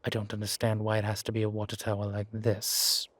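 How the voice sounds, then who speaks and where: A man playing a sardonic, weary vampire in an audio roleplay, speaking in a measured, formal, wry way. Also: lowish voice, completely flat delivery, fairly bright tone. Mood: musing, calm. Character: sardonic, weary male vampire, protective of a little companion. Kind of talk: scripted audio roleplay drama.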